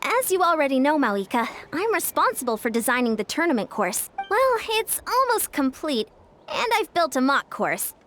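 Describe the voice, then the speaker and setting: A woman playing a playful, confident young female designer and coach in an anime game, explaining briskly and teasingly. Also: high-pitched, very animated, a bit bright. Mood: inviting. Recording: faint music behind the voice.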